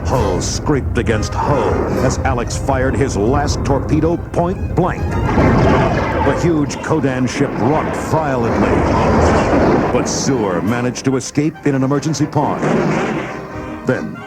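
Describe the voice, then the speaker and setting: A man's voice: mid-range voice, takes it slowly. Man, mid-range voice, scripted dramatic narration, read-along storybook style, prominent music and effects. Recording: loud music and effects behind.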